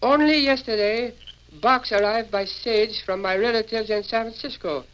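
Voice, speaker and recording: An actor playing a dignified Chinese immigrant in an old-time radio western, speaking in stilted, formal, proverb-like phrases. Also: male voice, high-pitched, fairly even intonation, moderate pace, fairly bright tone, clean tone, low-quality recording. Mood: solemn, polite.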